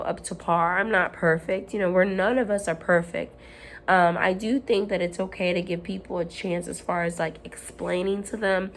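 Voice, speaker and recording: Hoarse woman giving candid self-help talk. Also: fairly low pitch, slight background noise. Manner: earnest, rambling, with frequent ums and restarts. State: hoarse, recovering from lost voice. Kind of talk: candid motivational talk to camera. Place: at home.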